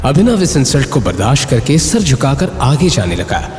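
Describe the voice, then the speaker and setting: A man's voice: mid-range voice, big pitch swings, normal speed, a little mellow. A man giving dramatic, scripted narration of an audio story. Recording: reverberant echo with music bed. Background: music.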